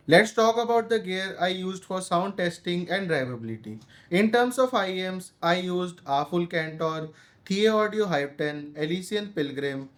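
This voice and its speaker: A man with an Indian accent narrating a scripted audio gear review. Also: sits high.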